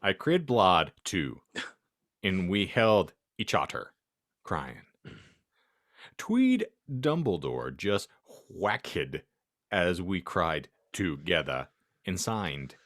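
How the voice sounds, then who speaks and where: A man's voice: low voice, very animated, drawn-out words, a bit bright, dry recording, studio quality. Theatrical man with wildly swooping delivery. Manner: theatrical, mock-serious delivery. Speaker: podcast host reading fan fiction aloud. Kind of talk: comedic dramatic reading of garbled fan fiction.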